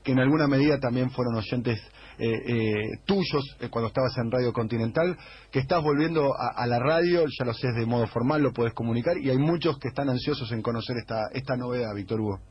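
Muffled radio, argentine guy presenter talking really fast, interview chat. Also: medium pitch. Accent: Argentine Spanish. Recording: dull radio clip.

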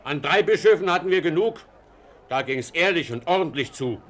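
A high-pitched male voice plays a cautious burgher in an old radio drama. He complains theatrically, with wide, animated swings in intonation, always fretting and wary. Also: moderate pace. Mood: grumbling, indignant. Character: cautious, grumbling Flemish townsman worried about the Inquisition. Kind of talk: 1940s German radio play acting. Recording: vintage 1949 radio drama recording.

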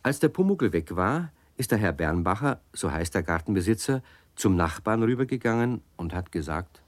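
Deep voiced guy telling a kids' story, lively melody, dry close sound. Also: normal speed, dry recording. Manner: warm, cozy storyteller, playful emphasis. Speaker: narrator. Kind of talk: storytelling narration for a children's radio play.

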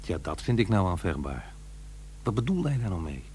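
Middle aged divorced dad in a radio play, aggrieved and muttering, kinda husky, very up and down. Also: male voice, low voice, big pitch swings, normal speed, dark tone, heavily acted. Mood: pondering. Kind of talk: naturalistic radio play acting.

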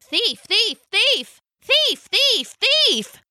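An excitable young penguin from a children's storybook, acted out by a woman. It chirps its complaints in a shrill, high and bright voice, swooping with outrage. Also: extremely slow, dry recording, studio quality, exaggerated voice. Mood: alarmed.

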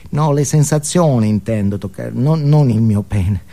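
Halting, neurotic man confessing, played by an actor. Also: mid-range voice, mellow, natural voice. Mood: awkward, confessional. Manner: halting, stammering, repeating words, self-justifying. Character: neurotic, ashamed, self-absorbed man confessing to therapy group. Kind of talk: comic theatrical monologue.

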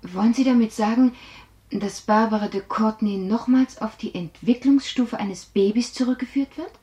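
Actress as earnest, dark-toned questioning woman. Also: a little high, normal speed, natural voice. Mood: concerned. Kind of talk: radio drama acting. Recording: echoey room.

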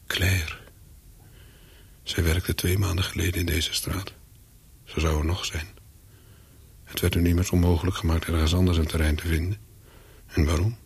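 A calculating, hunted spy tells his own story in a radio play. His voice is low and heavily husky, and he speaks in short, brooding sentences. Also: full character voice. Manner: terse, brooding, clipped sentences. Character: wary, calculating male spy on the run, narrating. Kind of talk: first-person radio play narration with dialogue.

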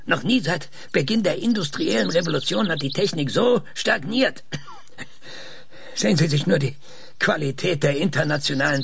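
An actor playing an opinionated, irritable scientist in a radio drama, with a husky rasp and an emphatic, halting, lecturing manner. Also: male voice, on the high side, fairly bright tone, exaggerated voice. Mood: scornful, agitated. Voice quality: husky, breathy rasp with creak. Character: opinionated, irritable male research scientist being interviewed. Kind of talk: naturalistic radio drama acting.

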